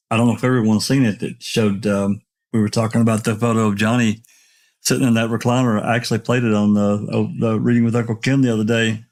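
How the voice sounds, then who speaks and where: A man hosting a casual discussion in a folksy, hesitant way, repeating himself for emphasis. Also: mid-range voice.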